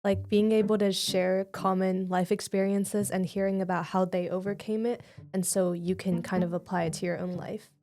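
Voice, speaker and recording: A woman's voice: mid-range voice, level tone, moderate pace, few pauses, warm tone. A teenage girl co-hosting a student podcast, chatting sincerely and reflectively. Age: teens. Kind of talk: casual podcast chat.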